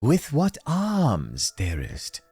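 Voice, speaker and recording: George, an anxious high wailing ghost. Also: male voice, huge pitch swings, very slow, mellow, breathy edge, no room sound, exaggerated voice. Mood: wry. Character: anxious, fretful male ghost named George.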